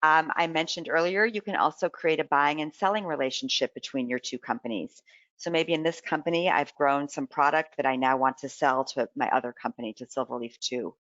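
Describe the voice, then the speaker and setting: A presenter giving a live software demonstration in an informal way, explaining and thinking aloud while navigating. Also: medium pitch, fairly quick, dry recording, highs cut off.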